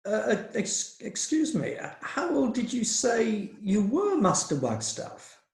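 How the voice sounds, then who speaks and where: Creaky, doddery old magistrate played by a man. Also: high voice, wildly swooping pitch, slow, exaggerated voice. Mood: puzzled. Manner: hesitant, stammering, pompous. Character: doddery, hard-of-hearing old male magistrate, blustering and nostalgic. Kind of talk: comic radio play acting. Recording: roomy, echoing sound.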